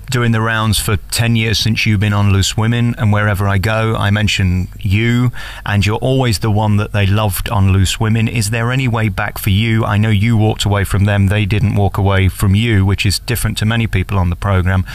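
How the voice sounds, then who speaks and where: A man interviewing as a radio host, flattering and cheeky in manner. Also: on the low side, normal speed. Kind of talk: interview questions and commentary.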